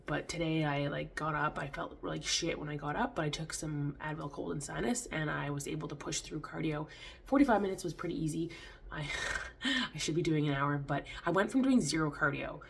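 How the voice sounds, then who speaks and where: Low-voiced stuffy-nosed woman vlogger. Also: fairly quick, vocal fry, a little hiss or hum. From Canada. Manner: rambling, lots of "like" and restarts. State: congested, stuffy nose. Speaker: fitness vlogger. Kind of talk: casual chat to camera.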